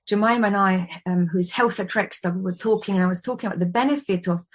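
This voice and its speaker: A woman's voice: medium pitch, fast talker, slightly rough. Chatty woman in her forties. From Austria. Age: 40s. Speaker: guest, wellbeing expert and yoga teacher. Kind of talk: conversational interview.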